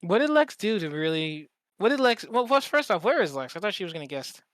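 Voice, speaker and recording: A man hosting a podcast in casual conversation, speaking informally and a little haltingly. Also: sits high, big pitch swings, a bit bright, no room sound, studio quality. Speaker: podcast host.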